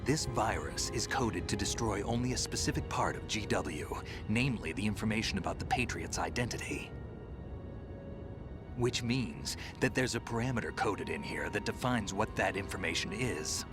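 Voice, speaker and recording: Man playing a very low, gravelly veteran soldier. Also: lively intonation, close to an everyday voice. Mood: assured, matter-of-fact. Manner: deliberate, earnest, reassuring. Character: gruff, weary veteran soldier, steady philosophical mentor. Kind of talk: dramatic video game cutscene acting. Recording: loud music under the voice.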